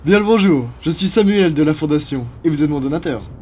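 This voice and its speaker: A man's voice: a little high, animated, a little fast, warm tone, a little hiss or hum, pitched above the performer's own voice, natural voice. A man voicing a courteous, apologetic vampire in an ASMR roleplay, speaking formally and politely. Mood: brisk, polite. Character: courteous, apologetic male vampire from a blood-donation foundation.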